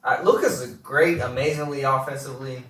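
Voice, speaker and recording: A man co-hosting a casual podcast, opinionated and full of quick interjections in banter with friends. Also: medium pitch, a crowd in the background. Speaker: podcast co-host. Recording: roomy, distant mic.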